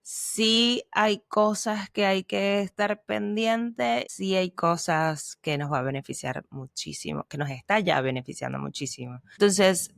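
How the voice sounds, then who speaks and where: A woman co-host with a mixed Spanish and Argentine accent talks through ideas in relaxed podcast conversation. She hesitates and restarts often as she explains. Her voice is recorded close and dry, with no room around it. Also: medium pitch, a little slow, creaky, hardly any pauses, dry recording. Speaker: podcast co-host, language teacher. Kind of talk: casual conversational chat.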